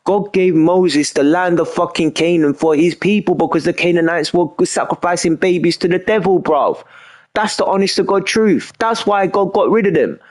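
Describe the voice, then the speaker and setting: A man's voice: sits high, moderate pace. A young man streaming a game and talking in an emphatic, repetitive way, often filling with "you know what I mean". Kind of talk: casual rambling monologue while gaming.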